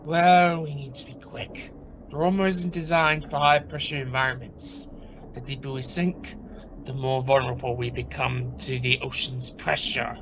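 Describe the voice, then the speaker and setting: A man playing an excitable, sarcastic astronaut in an amateur radio play, animated and exasperated. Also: medium pitch, moderate pace, close to an everyday voice. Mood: alarmed sarcasm. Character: excitable, sarcastic astronaut crew member Lee. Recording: dull, noisy home-made radio play recording.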